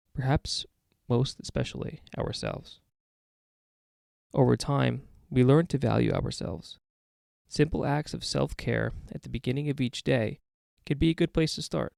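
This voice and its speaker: Male reader for daily recovery meditations, heavy vocal fry, mid-pitched, close dry sound. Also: a little mellow, no echo. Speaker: guest reader of a recovery podcast. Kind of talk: read-aloud daily meditation.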